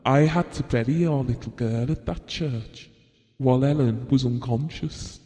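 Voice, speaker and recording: Slow, grieving husband in a reverberant hall played by a man. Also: mid-range voice, dark tone, natural voice. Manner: slow, tender, reflective. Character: Bill, grieving, gentle, practical husband and bereaved father. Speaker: actor in an audio drama. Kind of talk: intimate audio drama monologue. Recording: long reverberant hall sound.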